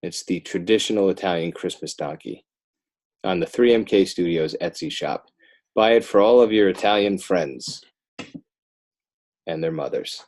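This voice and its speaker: A man's voice: sits low, moderate pace, long pauses, a little mellow. An American man talking casually as a guest author in a podcast interview. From Northern New Jersey, United States.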